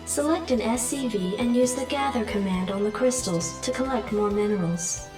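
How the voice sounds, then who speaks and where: A woman voicing a calm, formal computer adjutant giving measured, impersonal briefings in a video game. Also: on the high side, close to an everyday voice. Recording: echoey, distant, music behind.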